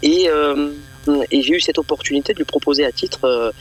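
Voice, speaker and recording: A man's voice: fairly high pitch, fairly quick, a bit bright, rough recording. A man from eastern France, a radio guest and event organizer, speaking hesitantly with many fillers and repeated words. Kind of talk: radio interview.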